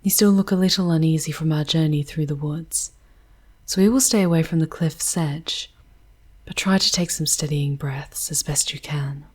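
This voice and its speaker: A woman voicing a charming, wistful female demon guide in an ASMR roleplay, with a breathy, whispery voice and poetic, formal speech. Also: low-pitched, darkish tone. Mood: solemn, guiding. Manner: slow, poetic, formal diction. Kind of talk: ASMR roleplay, soft theatrical narration.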